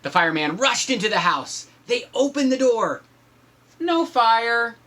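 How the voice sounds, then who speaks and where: A man with a husky voice reads a picture book aloud in an animated, playful way, with teasing asides as a father would to his child. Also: very high voice, measured pace. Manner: acts out shouts and sound effects, teasing.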